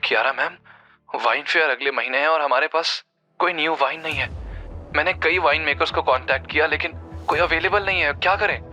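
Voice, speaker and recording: Man voicing a fretful female employee, higher-pitched. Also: a little fast, pushed and bright. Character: worried female employee on a phone call. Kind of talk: Hindi audio drama acting. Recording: music under the voice.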